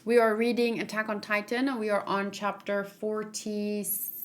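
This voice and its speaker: A woman's voice: mid-range voice, level tone, unhurried. A woman streamer doing a live read-along, mixing reading aloud with casual commentary.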